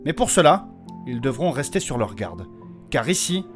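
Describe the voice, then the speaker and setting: A male storyteller delivers dramatic fantasy narration. His medium-pitched voice moves widely up and down, with music clearly playing underneath. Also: very animated, moderate pace. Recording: music with guitar behind the narration.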